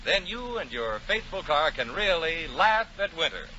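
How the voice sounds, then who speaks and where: A man announcing on 1940s radio with folksy, emphatic patter and sponsor pitches. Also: sing-song, normal speed, loud music behind the voice. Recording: dull, noisy 1940 radio recording.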